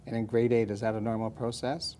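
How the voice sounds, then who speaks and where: Mellow-voiced man interviewing students. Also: mid-range voice, level tone, fairly quick, a little hiss or hum. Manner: gentle, asks leading questions. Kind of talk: classroom interview.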